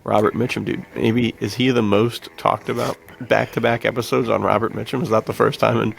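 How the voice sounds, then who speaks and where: A man's voice: on the low side, level tone, fairly quick, vocal fry, soft background music, rough recording. A man co-hosting a podcast, talking casually in a laid-back, jokey way with informal slang. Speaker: podcast co-host. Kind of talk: casual film discussion.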